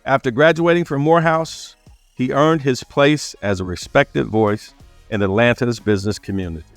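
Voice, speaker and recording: A man's voice: medium pitch, fairly even intonation, normal speed, dry recording, soft background music. A man hosting a podcast interview, talking conversationally with his guest. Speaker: podcast host.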